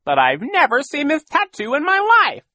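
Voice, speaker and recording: A theatrical storybook villain, a sneering and boastful con man. His growling voice leaps high and swings wildly as he gloats and mocks, forceful and bright, recorded close and dry. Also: huge pitch swings, moderate pace, no room sound, heavily acted. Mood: feigned innocence. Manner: gloating, exaggerated, mocking delivery. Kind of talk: audiobook character voice.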